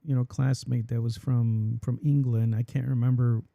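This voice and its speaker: A man hosts a college podcast in a gravelly, very dark voice. The sound is dry and close. He speaks haltingly, often repeating words before finishing a thought. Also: mid-range voice, little pitch movement, no echo, lo-fi sound. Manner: halting, repeats words while searching for them. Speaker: podcast host. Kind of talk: casual podcast hosting.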